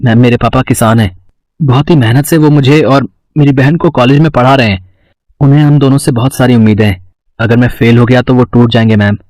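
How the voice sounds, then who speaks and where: A man playing a lovestruck male college student of twenty-one in an acted story, sly and pleading, with a hesitant, flattering manner. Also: mid-range voice, a little fast, no room sound, natural voice.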